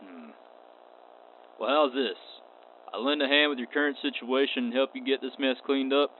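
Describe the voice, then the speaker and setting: Man acting a calm wandering gunslinger, wry, polite drawl, radio play, tinny sound, noise behind. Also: medium pitch, normal speed, lightly acted. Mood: courteous, bargaining. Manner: laconic, polite cowboy drawl. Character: courteous, wry, unflappable male wandering space cowboy. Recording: tinny band-limited audio with clear noise.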